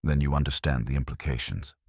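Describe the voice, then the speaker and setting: A stern, ponderous detective of an audiobook mystery, played by a male narrator. The voice is extremely low and rough-edged, and he pronounces each terse judgment formally, without sentiment. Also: steady tone, quick pace, a little mellow, dry recording, clean studio sound, exaggerated voice. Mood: cool, firm.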